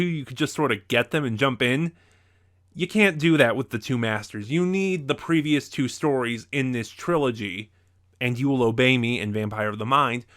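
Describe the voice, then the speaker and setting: A man giving an opinionated review, speaking in a measured way with emphatic stresses on key words. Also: mid-range voice, fairly bright tone.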